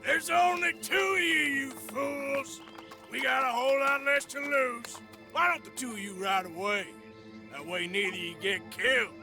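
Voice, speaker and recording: An actor playing a gruff, weary, commanding outlaw in a western game, with a terse, sarcastic manner. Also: male voice, very high voice, steady tone, moderate pace, over music. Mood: defiant. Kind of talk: cinematic western game acting.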